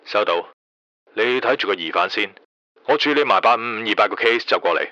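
Male actor as businesslike senior officer on walkie-talkie, Hong Kong Cantonese, lowish voice, dull band and clear static. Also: expressive, a little fast. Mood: brisk, official. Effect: two-way radio filter. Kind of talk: radio drama acting. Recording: muffled noisy walkie-talkie line with clicks.

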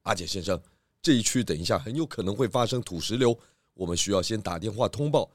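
A man with a Taiwanese accent voicing a calm, authoritative pig detective in a children's audio drama, with a measured, explanatory manner. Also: lowish voice, no room sound, clean studio sound. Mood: urgent, composed. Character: calm, authoritative male pig detective who loves food.

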